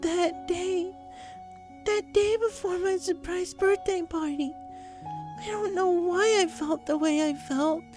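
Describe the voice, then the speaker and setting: A woman's voice: extremely high pitch, voice pushed up, exaggerated voice. A woman voicing a tearful, guilt-ridden young female pony in an emotional, pleading story performance. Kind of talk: dramatic audio fiction reading.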